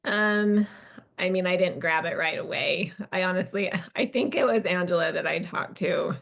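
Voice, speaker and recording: A woman's voice: fairly low pitch, normal speed, creaky, fairly bright tone. A woman from Utah, a past traveler on a webinar panel, chatty and full of "like". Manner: chatty, says "like" often. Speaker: alumni guest. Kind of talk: casual chat.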